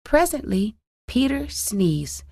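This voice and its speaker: Lady reading a kids story out loud, unhurried n expressive, super dry close recording, dramatic phrasing. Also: fairly high pitch, no echo. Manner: deliberate, dramatic phrasing for young listeners. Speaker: storyteller reading a children's book aloud. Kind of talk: expressive scripted storybook narration.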